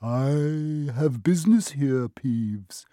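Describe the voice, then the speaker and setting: A man voicing a boy who poses as a commanding ghostly baron in a storybook, in a hoarse croaking whisper. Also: medium pitch, animated, extremely slow, mellow, no echo, clean studio sound. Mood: stern, menacing.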